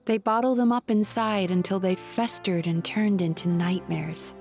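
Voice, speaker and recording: A woman playing a wistful, composed dream-eating spirit therapist in a video game, with a measured, dry, reflective delivery. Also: medium pitch, fairly even intonation, darkish tone, soft background music, lightly acted. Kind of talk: video game character acting.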